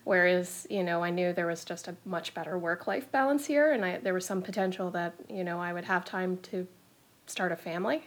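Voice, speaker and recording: A woman in her thirties from Florida with an American accent, chatting as a podcast guest and telling her story in a quick, chatty way. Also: on the low side. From Florida, United States. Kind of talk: casual interview chat.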